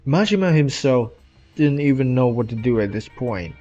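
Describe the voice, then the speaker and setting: Guy doing a game story recap, slightly low voice, dull cheap mic sound. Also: animated, moderate pace, dark tone. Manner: non-native English grammar. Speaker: video essay narrator. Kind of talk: scripted retrospective narration of a game story. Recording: dull lo-fi mic, music behind.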